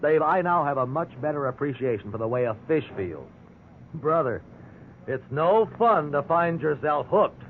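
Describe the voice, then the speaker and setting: A man's voice: on the high side, very animated, normal speed. An American man acting in a 1950s radio drama as an ordinary, good-humored fellow telling of his wrongful arrest. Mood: rueful. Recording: tinny, hissy 1950s radio recording.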